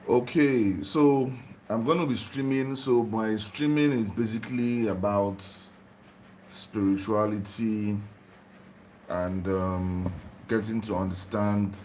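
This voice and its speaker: Man from Nigeria, young adult, drowsy livestream host, unhurried and lowish, faint hiss behind. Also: darkish tone, rough recording. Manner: slow, repetitive, greets viewers by name. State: just woken up. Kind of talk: casual livestream talk on spirituality.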